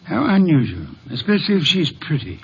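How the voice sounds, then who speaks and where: Sardonic, grumbling middle-aged male sleuth, higher-set voice, verbose and fussy, for a dull-sounding vintage radio mystery. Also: sing-song, a little fast, a bit bright, a little hiss or hum. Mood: dry, sardonic. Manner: grumbling, wordy, dryly sarcastic. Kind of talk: old-time American radio drama acting. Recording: muffled vintage radio recording.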